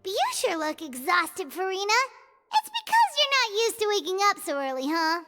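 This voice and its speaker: Squeaky, tiny fairy companion, very high-pitched. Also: female voice, wildly swooping pitch, normal speed, sharp tone, soft background music, full character voice. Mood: curious. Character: tiny chirpy floating fairy companion, childlike, excitable. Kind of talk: video game voice acting.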